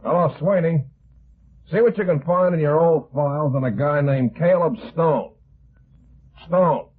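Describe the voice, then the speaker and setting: An actor playing a gruff, impatient police lieutenant in a 1950s radio detective drama, plain-spoken and insistent. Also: male voice, on the high side, a little slow. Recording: thin phone-like vintage radio recording.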